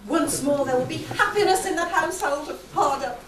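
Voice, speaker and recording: An excitable, proud pantomime relative, played by a woman, speaking in a high, swooping stage voice. It is caught from far off in a noisy hall. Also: a little fast. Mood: dramatic. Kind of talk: amateur pantomime stage acting. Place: theatre or hall stage with audience. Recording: echoey hall, distant mic, noisy background.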